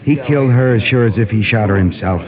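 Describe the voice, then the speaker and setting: A lowish man's voice from an actor gives us an embittered frontiersman set on revenge. He speaks in clipped, scornful phrases in old radio drama style. The recording is dull, with a clear crowd behind him. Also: fast talker, close to an everyday voice. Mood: bitter, brooding. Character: bitter, vengeful brother, hard frontier man. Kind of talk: 1950s Western radio drama acting.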